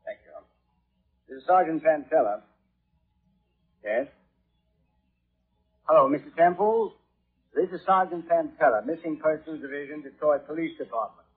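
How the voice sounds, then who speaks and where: An actor playing a brisk, businesslike police sergeant in a 1950s radio drama, direct and official. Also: male voice, a little high, animated, husky. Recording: muffled, tinny vintage radio recording.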